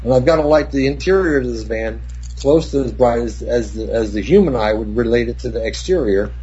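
An older man, a cinematographer, telling rambling, profane set stories with many restarts, in a husky voice. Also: medium pitch, a little fast, warm tone. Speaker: cinematographer guest. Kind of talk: casual anecdote. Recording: dull lo-fi recording.

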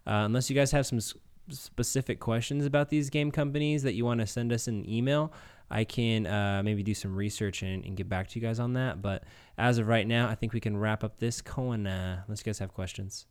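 A man co-hosting a casual, joking gaming podcast, talking in a clear, smooth voice. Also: fairly low pitch, a little fast. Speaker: podcast co-host reading a stock segment. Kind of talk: casual joking group chat about games.

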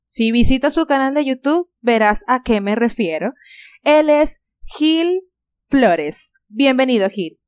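A woman's voice: high-pitched, animated, slow pace, smooth, no room sound. A woman hosting an interview, introducing her guest with admiring words and frequent fillers. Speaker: host. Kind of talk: interview podcast.

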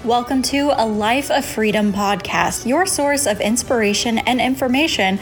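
A woman reading the scripted opening announcement of a podcast in a smooth, even manner. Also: on the high side, normal speed, soft background music. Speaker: show announcer. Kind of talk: scripted intro.

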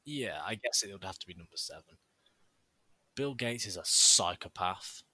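A man's voice: fairly low pitch, lively intonation, moderate pace, bright, forceful tone, husky, no room sound. A man co-hosting a podcast, theatrical, jokey and sarcastic in casual chat. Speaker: podcast co-host.

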